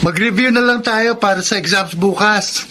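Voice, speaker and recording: A man's voice: high voice, normal speed, pushed and bright. A man acting as a sensible young Filipino student friend in a radio drama, nagging and persuading. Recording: faint noise and ambience.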